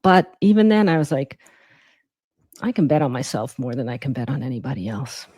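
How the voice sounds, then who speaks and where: A middle-aged woman from Guyana who grew up in New York, telling her personal story reflectively as an interview guest, with thoughtful, deliberate phrasing. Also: rumbling low, big pitch swings, warm tone, dry recording, studio quality. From born in Guyana, raised in the Bronx from age seven. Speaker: interview guest, emergency physician.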